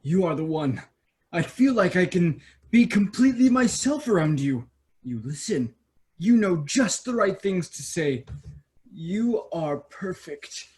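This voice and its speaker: Man as a slow-talking vain young prince. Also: sits high, sing-song, darkish tone. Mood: smitten. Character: vain, self-absorbed young fairy-tale prince, male. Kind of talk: children's theater staged reading.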